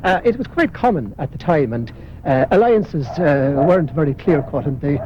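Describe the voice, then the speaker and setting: A man's voice: a little high, lively intonation, moderate pace. Hesitant Irish man with street noise behind. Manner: hesitant, frequent uh fillers. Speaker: local historian. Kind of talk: walking interview. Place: outdoors in city streets. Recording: muffled 1980s broadcast with street noise.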